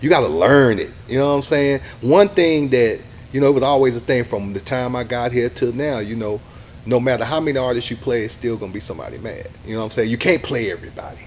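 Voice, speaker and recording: Animated man in casual chat. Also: medium pitch, quick pace, slight background noise. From Texas, USA. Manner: animated, acts out quoted people, says "you know what I'm saying" a lot. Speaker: radio DJ and interview guest.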